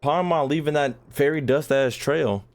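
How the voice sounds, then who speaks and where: A man's voice: on the high side, moderate pace. Slangy man doing jokey game commentary. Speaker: gaming streamer reacting to a story. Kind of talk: casual live gameplay commentary. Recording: game music behind the voice.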